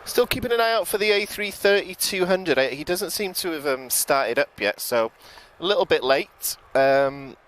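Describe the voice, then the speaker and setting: A man with a British accent giving relaxed live commentary outdoors at an airport, chatting to viewers with frequent ums and self-corrections. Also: sits high, moderate pace, hardly any pauses. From United Kingdom. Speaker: live plane-spotting commentator. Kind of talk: live-stream commentary, reading out viewer chat.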